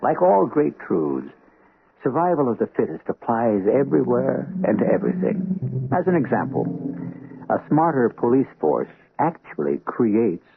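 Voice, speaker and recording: A man hosting a radio mystery show, narrating in a solemn, measured, theatrical style. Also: medium pitch, sing-song, creaky. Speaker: host and narrator. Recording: tinny old radio broadcast with music.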